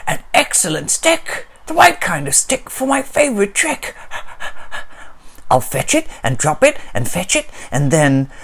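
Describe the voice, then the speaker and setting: A woman voicing an excitable, eager dog who barks out its words in a children's storybook. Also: mid-range voice, unhurried, breathy edge, voice pushed up. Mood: excited. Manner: barking, eager. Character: excitable, bossy dog obsessed with fetching sticks.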